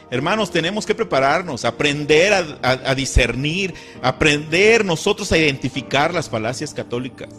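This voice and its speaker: A man's voice: fairly high pitch, a bit bright. A man giving a scripted sermon-style commentary, earnest and indignant as he quotes scripture.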